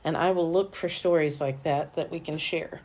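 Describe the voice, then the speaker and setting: A woman hosting a solo faith-based podcast, talking earnestly and encouragingly while hoarse from losing her voice. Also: deep voice, fairly even intonation, moderate pace, darkish tone, rough recording. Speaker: podcast host for a small publisher.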